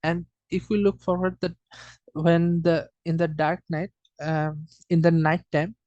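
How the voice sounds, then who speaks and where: A student of indeterminate gender walks through a data presentation at a slow pace, in a middling-pitched voice. The delivery is halting and informal, with frequent restarts and self-corrections. The sound is dry, with very little room around it. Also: flat delivery, a little mellow, dry recording, highs cut off.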